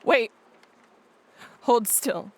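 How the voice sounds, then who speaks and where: A woman's voice: fairly high pitch, lively intonation, drawn-out words, a little hiss or hum, exaggerated voice, rough recording. A woman acting a distraught, desperate patient trapped in a virtual reality, pleading and accusing, in an audio drama.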